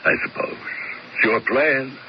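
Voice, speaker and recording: An actor playing a blunt, mercenary hitman in a 1970s radio mystery, gravelly, laconic and deadpan in tough slang. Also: mid-range voice, measured pace, natural voice. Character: blunt, mercenary male hired killer, coolly unbothered. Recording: muffled, hissy old radio recording.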